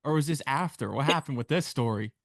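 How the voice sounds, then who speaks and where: A man's voice: medium pitch, brisk, gravelly, no room sound, clean studio sound. A man hosting an interview, asking questions casually with some hesitant phrasing. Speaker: podcast host interviewer.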